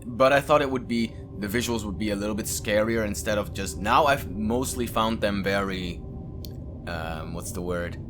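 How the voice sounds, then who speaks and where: A man hosting a gaming video, giving informal, expressive reactions and casual gameplay commentary. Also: lowish voice, normal speed. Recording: music behind the voice.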